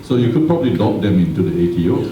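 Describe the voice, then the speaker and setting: A man's voice: on the low side, sing-song, rapid-fire, highs cut off. Joking man in a crowd. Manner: joking, questioning. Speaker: audience member. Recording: echoey hall, distant mic, crowd.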